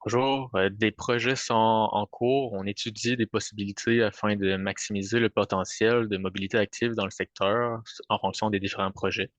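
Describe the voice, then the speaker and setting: Male official, low and flat, formal and halting. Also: dry recording. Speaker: city official. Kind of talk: answering at a public meeting.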